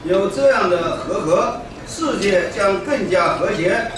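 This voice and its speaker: Man, formal ceremonial speaker, high voice, booming hall echo. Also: lively intonation, unhurried. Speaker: conference speaker. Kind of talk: formal speech. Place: large conference hall. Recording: echoey hall, distant mic, noisy.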